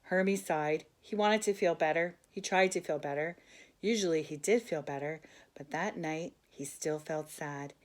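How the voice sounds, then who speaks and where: An older woman reads a children's picture book aloud in a gentle, expressive way, with asides to a young listener. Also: low voice, normal speed. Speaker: grandmother storyteller.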